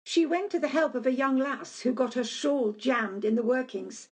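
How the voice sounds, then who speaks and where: A woman's voice: sits high, expressive, moderate pace, husky. A woman playing an apologetic, formal company messenger in a radio play, hesitant, polite and grave. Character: apologetic, formal female company messenger bearing bad news.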